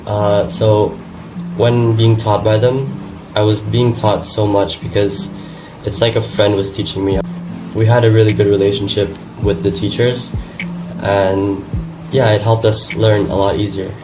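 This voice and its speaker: A man's voice: lowish voice, level tone, measured pace. A young man whose first language is Indonesian gives an earnest testimonial about his former school, with fillers and restarts. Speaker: former student. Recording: distant mic under loud music.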